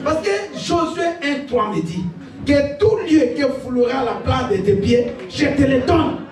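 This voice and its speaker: A male pastor, preaching a sermon, shouting his key points and laughing through his stories. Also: very high-pitched, lively intonation, a little slow, music in the background. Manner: exhorting, laughing asides, shouted declarations. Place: church. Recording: echoey church hall, picked up from a distance. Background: congregation responding.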